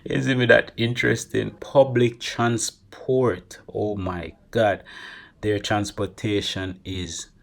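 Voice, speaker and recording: A young man with a Jamaican accent talks straight to the camera in a loose, chatty vlog. His voice sits fairly low. He keeps it animated and drops Creole phrases into his English as he goes. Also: slow, creaky. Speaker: travel vlogger. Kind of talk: casual vlog monologue.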